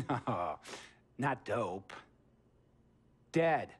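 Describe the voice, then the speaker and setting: A chatty rich tech mogul. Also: male voice, mid-range voice, big pitch swings, very slow, husky, full character voice. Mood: storytelling. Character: rich middle-aged male tech mogul, smooth and talkative. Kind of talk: video game cutscene dialogue.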